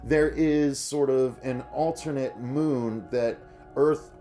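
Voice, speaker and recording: A man's voice: mid-range voice, fairly even intonation, slow pace, warm tone, faint music behind. A man co-hosting a review show, talking to viewers in a casual, conversational way. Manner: conversational, addresses audience as "you guys". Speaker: co-host of a horror review show.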